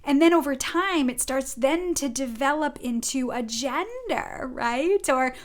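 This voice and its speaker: A woman's voice: high voice, lively intonation, unhurried. A woman with a Rhode Island accent giving an earnest, effusive weekly oracle card reading as a spiritual advisor. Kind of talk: informal spiritual guidance monologue.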